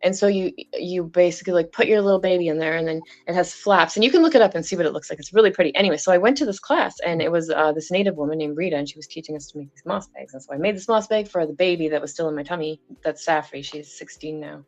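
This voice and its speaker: A woman's voice: fairly low pitch, fast, hardly any pauses, darkish tone. A middle-aged woman from Canada telling a meandering personal story in casual chat. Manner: chatty, meandering, trailing off. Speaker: guest. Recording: dull lo-fi sound with faint music.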